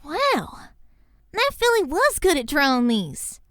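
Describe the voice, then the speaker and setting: Little cartoon pony girl: piercingly high, bright, close and dry, plucky yet jumpy. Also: huge pitch swings, slow, no room sound, full character voice. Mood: uncertain. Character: small young filly pony, plucky yet jumpy, loyal. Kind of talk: cartoon fan fiction audio drama acting.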